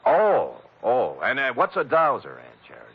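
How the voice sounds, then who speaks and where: Wisecracking American detective, brisk, sardonic, swinging intonation, vintage radio crime drama, muffled recording. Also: male voice, medium pitch, huge pitch swings, husky, heavily acted. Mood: wry. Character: wisecracking, hard-boiled American male private detective. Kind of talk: 1940s radio acting. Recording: dull old radio recording.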